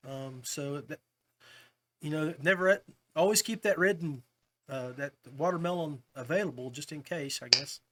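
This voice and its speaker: A man's voice: on the high side, normal speed, sharp tone. A man hosting a casual fishing podcast, talking to guests in a chummy way, like old buddies. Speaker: podcast host.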